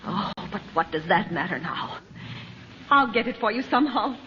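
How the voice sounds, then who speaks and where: A woman's voice: medium pitch, expressive, moderate pace, bright, forceful tone. An actress playing a desperate, brave mother in jungle peril, with breathless theatrical delivery in an old-time radio melodrama. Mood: pleading. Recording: muffled old radio recording, faint noise.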